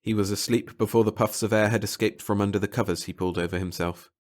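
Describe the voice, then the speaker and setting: A man's voice: fairly low pitch, steady tone, fast. A man reading a short story aloud as scripted audiobook narration.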